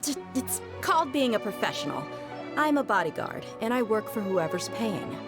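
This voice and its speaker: A woman's voice: fairly high pitch, sing-song, moderate pace, music in the background. A woman playing a tough, pragmatic young bodyguard in a video game, blunt and casual in a streetwise way. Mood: exasperated.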